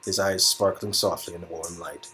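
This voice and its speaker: A man's voice: sits low, steady tone, moderate pace, sharp tone, faint music behind. A man reading out narration from an interactive romance game, with joking asides to his co-reader.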